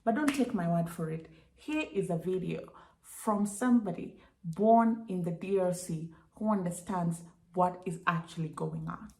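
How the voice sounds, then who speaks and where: A woman's voice: on the low side, moderate pace, gravelly, dark tone, a little hiss or hum. A woman giving political commentary to camera, rhetorical and emphatic, firing questions at the viewer. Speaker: political video creator. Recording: echoey room.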